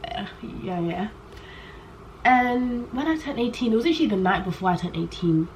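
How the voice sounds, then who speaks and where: A young woman in her late teens, chatting candidly to camera in a rambling way. She talks loudly on purpose and keeps trailing off and restarting her sentences. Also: medium pitch, expressive, normal speed, muffled recording. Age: young adult. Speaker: vlogger. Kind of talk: casual chat to camera. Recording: poor audio with faint background noise.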